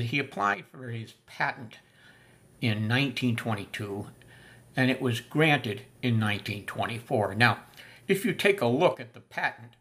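An older man talking through the history of a piece of camping gear in a folksy, unhurried way, with many filler sounds. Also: mid-range voice, sharp tone. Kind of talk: casual spoken history lesson. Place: small room indoors.

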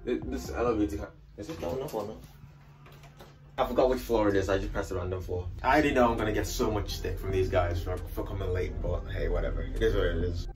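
A young man with a Scouse accent, talking to his phone camera as he walks through an airport and a hotel. His voice sits a little low, and he chats easily and loosely to his viewers. Music plays under the whole clip. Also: fairly even intonation, fast talker, darkish tone. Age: young adult. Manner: chatty, talks to camera on the move. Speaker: vlogger. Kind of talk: casual travel vlog. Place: airport, plane and hotel, indoors. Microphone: handheld phone at arm's length.

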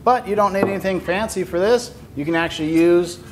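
A man's voice: high voice, brisk, background noise. A man in his forties giving a friendly step-by-step woodworking lesson in his wood shop. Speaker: woodworking instructor. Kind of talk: instructional shop tutorial. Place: wood shop with lumber racks, indoors.

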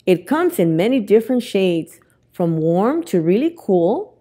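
Casting: middle-aged woman, children's storytime reader, animated pitch, unhurried delivery. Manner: expressive, deliberate reading to young children. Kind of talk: children's picture book read-aloud. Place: indoors in a library, among bookshelves. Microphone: lapel mic.

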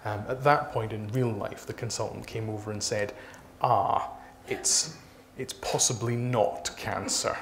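A man in his thirties speaking haltingly as an author being interviewed on stage. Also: fairly low pitch, fairly even intonation, creaky, a bit bright. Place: small dark stage before a seated audience. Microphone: lapel mic. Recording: noisy live event room recording.

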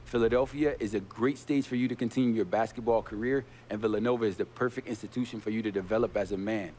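An actor playing a confident, flattering male college recruiter in a game cutscene, giving a polished professional sales pitch. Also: fast talker, slight background noise, lightly acted. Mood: flattering, assured.

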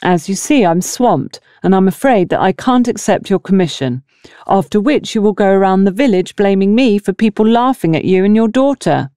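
Female narrator as village seamstress, dryly sardonic and blunt, mellow tone, lively inflection, audiobook reading. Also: mid-range voice, moderate pace, no room sound, studio quality, natural voice. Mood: firm, dry. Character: blunt, sardonic village dressmaker, incredulous woman.

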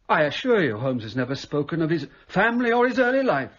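An actor playing an earnest, loyal English gentleman doctor in a radio drama, courteous and formal with an urgent edge. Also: on the high side, big pitch swings, quick pace, muffled recording. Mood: courteous, concerned.

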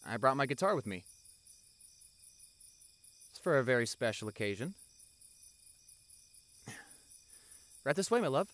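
A man's voice: medium pitch, very animated, brisk, a bit bright, no room sound. A man playing an affectionate doctor boyfriend in his forties, talking casually and a little hesitantly in an intimate audio roleplay. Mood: gently excited. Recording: car ride ambience behind the voice.

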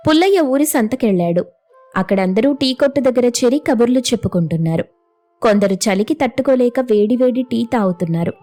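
A woman's voice: a little high, lively intonation, fairly quick, dark tone, soft background music. A woman narrating a scripted moral story as a storyteller.

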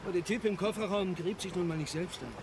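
A man's voice: high voice, quick pace, a crowd in the background, close to an everyday voice. An actor playing an ordinary, exasperated family man in a naturalistic radio play, talking in an impatient, conversational way. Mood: agitated, exasperated. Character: exasperated ordinary family father and reluctant accomplice.

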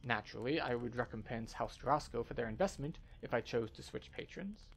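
A man voicing an earnest scholar from a fantasy game expedition, speaking in a formal, measured way. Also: medium pitch, creaky. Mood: guarded, formal.

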